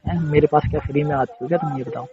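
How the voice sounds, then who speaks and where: A man's voice: on the high side, steady tone, racing, a crowd in the background, close to an everyday voice. A man playing a regular client on a casual phone call, argumentative but caring, pressing his point and repeating himself with questioning tags. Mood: insistent, irritated. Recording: muffled, noisy phone-call recording with clicks.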